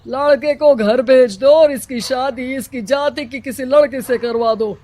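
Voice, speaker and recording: A man's voice: extremely high pitch, mellow. A man playing a domineering, pompous village headman who passes harsh judgments in a cartoon moral story. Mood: stern, pompous. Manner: pompous, slow, commanding.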